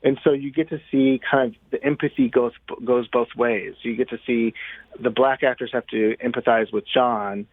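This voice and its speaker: A man's voice: medium pitch, fairly even intonation, normal speed, no room sound. A man being interviewed as a playwright and director, talking informally and thinking aloud, on slightly muffled audio. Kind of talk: conversational radio interview.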